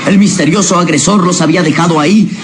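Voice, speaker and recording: A man's voice: sits high, quick pace. A man narrating a scripted radio drama in a dramatic, suspenseful manner. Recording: dramatic music underneath.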